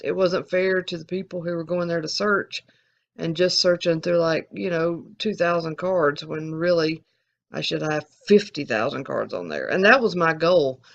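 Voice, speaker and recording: Gravelly Southern-accented card collector. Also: medium pitch, muffled recording. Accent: Southern US, Alabama. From American South. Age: adult, collecting since the eighties. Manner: rambling, candid, frequent restarts. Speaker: sports card channel host. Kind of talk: casual Q&A to viewers.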